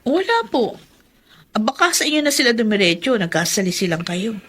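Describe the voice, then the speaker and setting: Evasive daughter-in-law, flustered, highish pitch, Filipino radio drama. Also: female voice, sing-song, a little fast, fairly bright tone, slightly rough, exaggerated voice.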